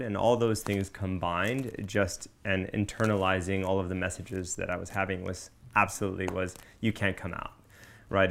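Man, very low pitch, gravelly fry, candid personal storytelling, reflective and conversational. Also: slight background noise. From Miami, United States.

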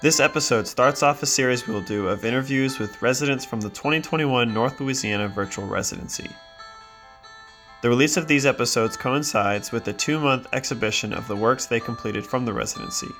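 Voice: mid-range voice, fairly quick, a bit bright, faint music behind. A podcast host reading a scripted introduction, then asking guests questions in a looser, hesitant way.

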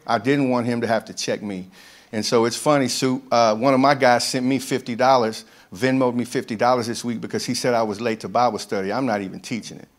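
Man, mid pitch, earnest, halting restarts, ministry leader, speaking to a church group.

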